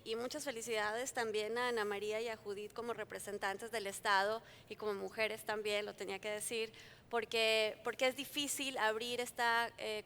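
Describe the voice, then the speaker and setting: A woman's voice: mid-range voice, fairly bright tone, slight background noise. A woman from Colombia with a mix of Colombian and Mexican accent, speaking earnestly as a panelist at a conference forum. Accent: Colombian Spanish mixed with Mexican. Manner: earnest, fluent, repeats words when restarting. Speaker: panelist, company founder. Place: conference hall.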